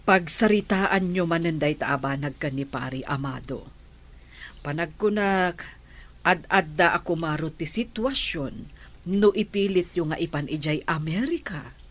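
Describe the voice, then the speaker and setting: A woman's voice: deep voice, lively intonation, measured pace. A woman playing an earnest, helpful middle-aged family friend in an audio drama.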